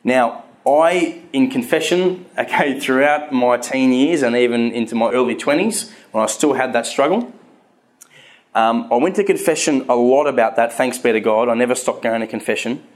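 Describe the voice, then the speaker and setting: A man's voice: mid-range voice, normal speed. A man in his thirties with a stutter, giving a candid, earnest personal talk on faith to a live audience, often restarting his words.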